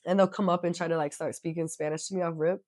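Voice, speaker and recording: A young woman chatting casually as a podcast guest, self-mocking and quick to laugh. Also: fairly low pitch, fairly even intonation, a little mellow, no echo, studio quality. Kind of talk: casual chat.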